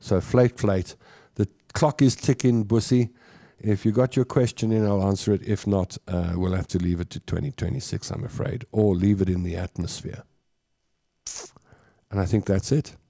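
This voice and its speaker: A man's voice: lowish voice, normal speed, vocal fry, warm tone, dry recording, studio quality. A South African man in his fifties hosting a live show, talking casually and earnestly to his listeners in a reflective, conversational way. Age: 50s.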